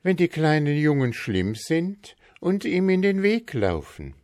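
A man voicing a bogeyman's meek wife in a storybook reading, soft and obliging. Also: on the high side, sing-song, measured pace, dry recording, studio quality, pitched above the performer's own voice.

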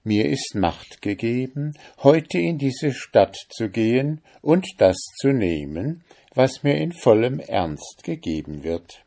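A man's voice: mid-range voice, big pitch swings, mellow, voice pushed up, full character voice. A man voicing the Devil as a sly, noble-seeming prince of hell in a storybook reading. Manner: sly, measured and warning.

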